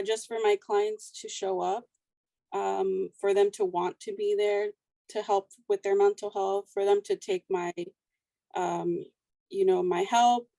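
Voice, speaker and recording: A woman in her late twenties from South Texas, a counselor speaking as a guest in a casual interview, soft-spoken and shy. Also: mid-range voice, vocal fry, no echo, rough recording.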